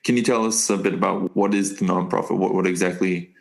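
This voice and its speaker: Low-voiced male interview host. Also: fairly even intonation, brisk, creaky. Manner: brief, plain questions and short acknowledgments. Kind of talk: interview hosting.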